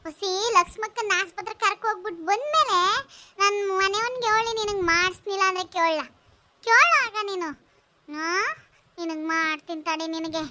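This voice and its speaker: A woman's voice: very high voice, normal speed, noisy background. A woman playing a bossy, loyal village neighbour in a rural melodrama, full of exclamations and scolding. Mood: indignant.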